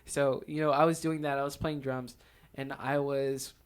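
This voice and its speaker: A man's voice: mid-range voice, little pitch movement, normal speed, smooth. A young man co-hosting a podcast, chatting casually and telling personal stories with frequent laughter. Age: young adult. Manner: giggly, often laughs while talking. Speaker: podcast co-host. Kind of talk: casual chat, personal storytelling.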